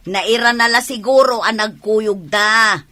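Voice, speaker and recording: A woman's voice: fairly high pitch, a little slow, pushed and bright. A woman playing a sceptical, defensive middle-aged mother of a young athlete in a radio drama, with an Ilocano accent.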